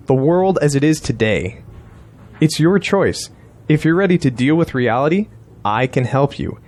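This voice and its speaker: A man's voice: on the high side, a little mellow. An actor as a blunt male campaign strategist. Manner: blunt, insistent, lecturing. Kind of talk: audio drama acting.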